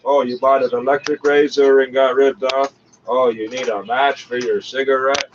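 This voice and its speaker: A man's voice: medium pitch, little pitch movement, moderate pace. A man giving blunt, sardonic, informal commentary on a radio broadcast. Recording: muffled, noisy recording, off-mic.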